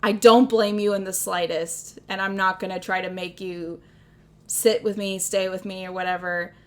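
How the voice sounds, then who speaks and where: A woman's voice: mid-range voice, fairly even intonation, moderate pace. A young woman with a Midwestern accent, chatting casually on a livestream, rambling and self-deprecating, laughing often. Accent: Midwestern American. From American Midwest. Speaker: streamer.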